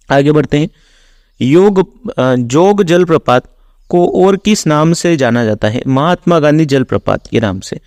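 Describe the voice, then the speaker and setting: A man's voice: a little high, darkish tone, dry recording, clean studio sound. A man working as an exam-prep teacher, reading out quiz questions and explaining the answers in an instructional, routine way.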